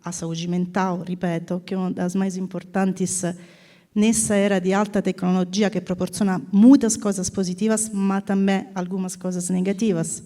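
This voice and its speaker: Italian-accented woman in her fifties, mellow. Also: on the low side, flat delivery, normal speed. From Italy. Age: 50s. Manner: drifts between Portuguese and Italian, clears her throat often. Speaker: panel speaker, corporate people director. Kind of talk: panel talk.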